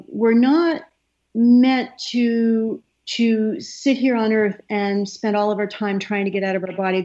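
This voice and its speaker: A middle-aged woman from Canada, a soft-spoken guest who talks with many fillers and pauses. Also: medium pitch. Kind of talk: casual interview talk. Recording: slightly dull, lo-fi sound.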